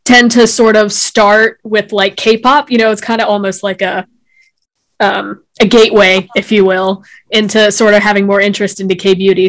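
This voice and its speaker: A woman co-hosts a casual podcast and puts questions to her guests. Her pitch sits in the middle, and she talks loosely, softening her points with little hedges. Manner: easygoing, hedging with "sort of" and "like". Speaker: podcast co-host.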